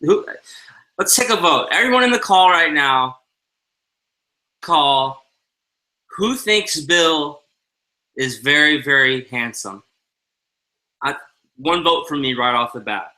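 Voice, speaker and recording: A man's voice: on the high side, lively intonation, slow pace, long pauses, pushed and bright, poor audio. A tired man co-hosting a loose, joking live-stream talk show from home over a video call on a headset. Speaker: co-host. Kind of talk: casual live-stream chat.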